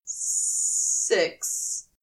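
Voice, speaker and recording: A woman's voice: very slow, far from the mic, rough recording. A woman playing a guilty, flustered male demon in audio fiction, stammering and thick-voiced as he confesses. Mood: guilty, subdued. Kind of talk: audio fiction character acting.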